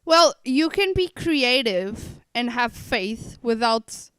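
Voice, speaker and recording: Very clear-voiced woman streamer. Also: a little high, animated, slow pace, a bit bright, dry recording, clean studio sound. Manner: reflective, repeats and rephrases points. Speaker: streamer reacting to a game. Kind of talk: casual live commentary.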